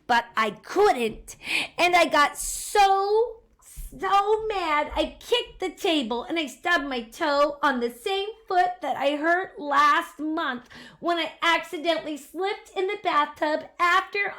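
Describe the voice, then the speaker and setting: A woman's voice: extremely high pitch, fairly bright tone, voice pushed up, full character voice. A woman voicing an upset little boy who rattles off his troubles in one long, breathless run-on complaint.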